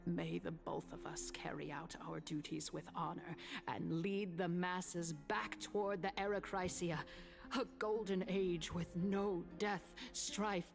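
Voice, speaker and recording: An actress voicing a composed, courtly female politician in a fantasy video game, speaking in formal, measured oratory with veiled menace. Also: on the low side, creaky.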